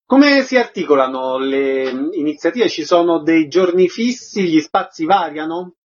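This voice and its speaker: Radio interviewer, male. Slightly high, raspy voice, concise presenting style, dull lo-fi sound. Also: expressive, a little slow. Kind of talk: radio interview, short questions and scripted introductions. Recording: muffled lo-fi radio audio.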